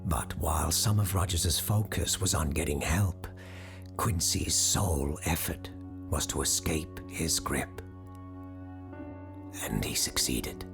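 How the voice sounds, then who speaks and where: Woman acting as Dr. Ryder, very deep, slow host. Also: gravelly, heavily acted. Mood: solemn, eerie. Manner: measured, solemn, deliberate. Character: Dr. Ryder, grave supernatural radio show host and storyteller. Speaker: host of a supernatural advice radio show. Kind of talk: scripted audio drama narration. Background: music under the voice.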